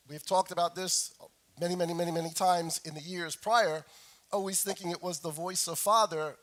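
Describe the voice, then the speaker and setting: A middle-aged man preaching a sermon as a pastor, hoarse from a cold, speaking deliberately and repeating phrases for emphasis. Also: high-pitched, fairly bright tone. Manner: frequent throat clearing. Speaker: pastor preaching to his congregation. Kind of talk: sermon with scripture reading.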